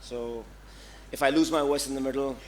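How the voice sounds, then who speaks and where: A hoarse man speaking on a conference panel, casual and direct. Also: mid-range voice, steady tone, moderate pace, a little hiss or hum, rough recording. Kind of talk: panel discussion. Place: conference hall.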